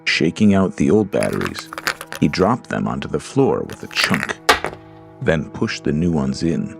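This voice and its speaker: Slow, deep, gravelly male narrator. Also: sing-song. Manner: slow, deliberate storytelling. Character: Lars, a frightened man alone in a dark house. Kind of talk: scripted horror narration. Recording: music under the voice.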